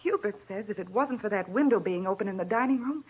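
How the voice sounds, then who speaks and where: An actress playing a frail, nervous, forgetful American housewife, hesitant and meek, in an old-time radio drama. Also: female voice, mid-range voice, fast, breathy edge, close to an everyday voice. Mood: meek, confiding. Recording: dull, noisy old radio recording.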